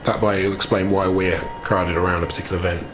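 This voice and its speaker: Low-voiced man playing along over music. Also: little pitch movement, fast. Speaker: tabletop game player. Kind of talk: casual playful chat.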